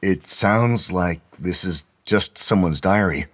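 A man's voice: low voice, slow, a bit bright, slightly rough, heavily acted. A man voicing an eager, slightly sheepish male technician with a mild, obliging manner in an audiobook story.

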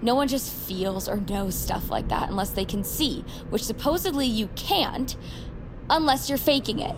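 A woman's voice: fairly high pitch, normal speed, music in the background, natural voice. A woman playing a scornful, accusing teenage mean girl in an audio drama, snide and confrontational. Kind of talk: audio fiction drama acting.

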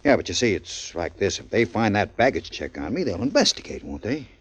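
A man's voice: on the low side, sing-song, brisk, breathy edge, heavily acted. An actor playing a nervous, shifty pool hall owner in his forties who stammers through anxious questions, in 1940s radio acting. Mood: wheedling. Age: 40s. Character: big fortyish pool hall owner, nervous, then menacing. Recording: dull lo-fi 1940s radio recording.

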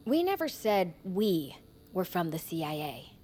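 Sardonic government interrogator. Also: female voice, on the low side, sing-song, dark tone. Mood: sardonic, accusing. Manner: deliberate, pointed, sarcastic. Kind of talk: audio drama acting.

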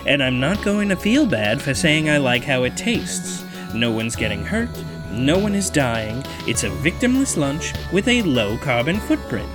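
A man's voice: mid-range voice, big pitch swings, measured pace, hardly any pauses. An actor playing an indignant, menacing man in an audio drama, sarcastic, profane and confrontational as he defends himself. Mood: defensive, insistent. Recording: music playing underneath.

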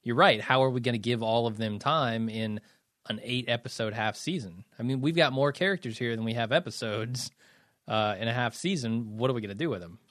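A man's voice: mid-range voice, a little fast, a bit bright, dry recording, studio quality. A man co-hosting a casual podcast, easygoing and thinking aloud. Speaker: podcast co-host.

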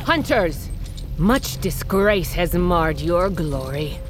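A stern queen of a warrior tribe, speaks with cold, formal command. It is cinematic fantasy audio drama, with music clearly behind her. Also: fairly low pitch, very animated, slow pace. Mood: harsh command.

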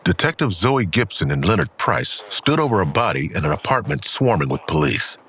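A man's voice: sits low, big pitch swings, fairly quick, fairly bright tone. A man reading scripted scene narration for an audio drama. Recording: clear background ambience noise.

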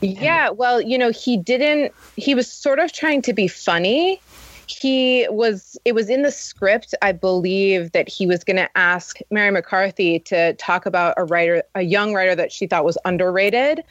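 A woman's voice: mid-range voice, measured pace, no room sound, highs cut off. A woman speaking as a writer and editor guest on an interview show, in a casual conversational style. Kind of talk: casual interview chat with article reading.

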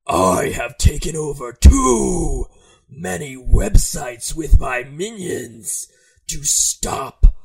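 A man voicing a menacing demon lord of darkness in a comic story read-aloud, bellowing pompous proclamations in a strained, raspy voice. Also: on the high side, sing-song, extremely slow, heavily acted. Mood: furious.

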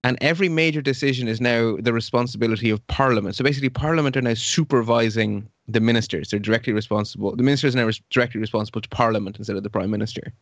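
A man's voice: medium pitch, racing, few pauses, no room sound. Brief: wry man, mid-range voice, very dry close sound, long explanations. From Belgium. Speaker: podcast co-host. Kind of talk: casual current-affairs chat.

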